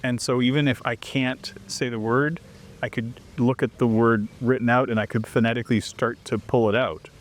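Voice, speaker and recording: A man's voice: mid-range voice, moderate pace. A man from Canada, chatting as an interview guest and acting out little exchanges as he tells them. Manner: animated storyteller who acts out quoted exchanges. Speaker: interview guest, foreigner living abroad. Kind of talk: casual interview chat.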